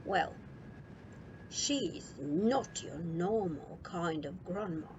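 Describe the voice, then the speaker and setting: A woman's voice: lowish voice, lively intonation, takes it slowly, highs cut off, noisy background. A woman voicing an easygoing, reassuring father in a children's storybook. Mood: amused, reassuring. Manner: chuckling, reassuring.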